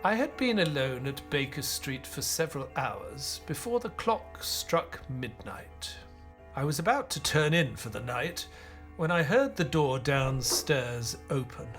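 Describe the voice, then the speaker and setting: A man acting an earnest doctor and loyal companion in an audio play, speaking in a measured, sometimes hesitant way. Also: mid-range voice, sing-song, a bit bright, soft background music. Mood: reflective, sombre. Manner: measured, hesitant, stammering in dialogue. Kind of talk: audio play acting and first-person narration.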